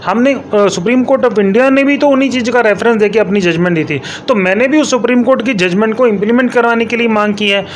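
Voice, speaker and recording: A man's voice: sits high, quick pace, hardly any pauses, slight background noise. A man answering interview questions as an elected local politician, explaining emphatically and repeating his points with English terms mixed in.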